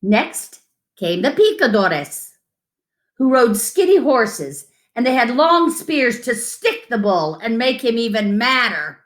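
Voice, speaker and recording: A woman's voice: fairly high pitch, sing-song, slow pace. A woman reading a picture book aloud to children as a storyteller, with dramatic emphasis and asides to her listeners.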